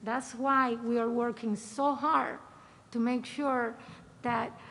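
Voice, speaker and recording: A middle-aged woman, a retired Coast Guard lieutenant, reads a short prepared statement. She hesitates often, filling the gaps with small sounds. Her voice sits high. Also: steady tone, slow, fairly bright tone. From Dominican Republic. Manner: hesitant, many filler sounds. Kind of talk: reading a prepared personal statement.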